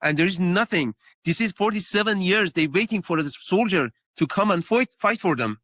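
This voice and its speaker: A man with an Iranian accent speaking English haltingly as an interview guest, earnest and searching for words. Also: sits high, fairly bright tone, no echo. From Iran. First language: Persian. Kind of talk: remote interview talk.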